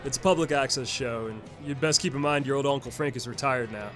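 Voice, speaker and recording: An actor playing a folksy, earnest retired uncle in an audio sketch comedy. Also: male voice, medium pitch, quick pace, soft background music, natural voice. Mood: fatherly. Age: older. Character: retired fatherly uncle, earnest and matter-of-fact about monsters.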